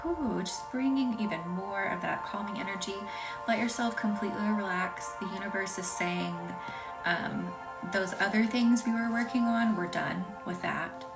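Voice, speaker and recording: A woman from the American South hosting a live reiki session, talking softly and often in a half-whisper, hesitant and full of fillers as she answers viewers' comments. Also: mid-range voice, normal speed, vocal fry. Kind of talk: live-stream energy healing with viewer chat. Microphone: phone live stream. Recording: distant mic with music behind.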